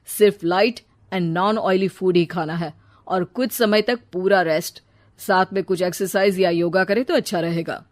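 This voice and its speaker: A woman voicing a brisk, stern doctor in a cartoon story, giving firm, matter-of-fact advice. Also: fairly low pitch, lightly acted. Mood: firm, concerned.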